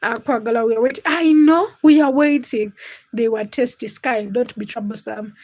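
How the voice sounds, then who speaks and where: A woman's voice: fairly high pitch, moderate pace, a little mellow. A young woman from Uganda and Kenya hosting a casual livestream, reading out viewers' comments and teasing them by name. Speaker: livestream host.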